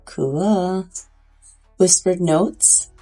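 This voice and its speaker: Female beauty vlogger, stuffy nose, low husky tone, chatty makeup review. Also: very slow, dark tone, soft background music. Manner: chatty, enthusiastic about swatches. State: congested.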